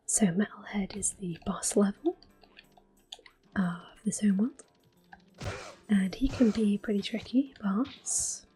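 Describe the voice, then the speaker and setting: Slow, whispering ASMR woman gamer. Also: mid-range voice, soft background music, low-quality recording. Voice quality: soft-spoken, breathy half-whisper. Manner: gentle, unhurried narration of each move. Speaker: game streamer, host. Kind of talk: ASMR gameplay commentary.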